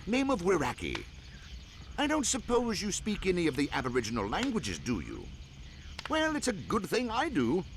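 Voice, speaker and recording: A man's voice: on the high side, fairly bright tone, a little hiss or hum, exaggerated voice. A man playing a hearty, effusive British hunting guide as dialogue in a video game. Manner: effusive, exclamatory, old-fashioned chummy phrasing.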